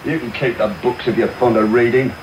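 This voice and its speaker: A man playing a brutal, threatening London thief in a radio drama, with a gruff Cockney voice. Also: medium pitch, fairly even intonation, a little fast, lo-fi sound, natural voice. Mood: angry demanding. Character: brutal, menacing adult male thief with a dog. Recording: very noisy off-air medium wave radio recording.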